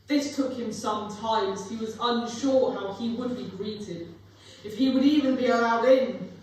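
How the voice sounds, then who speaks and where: A woman's voice: a little high, measured pace, a little mellow, lightly acted. A young woman narrating a scripted story in a live dramatic reading. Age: young adult. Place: museum room, live performance. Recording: echoing hall, distant mic, faint noise.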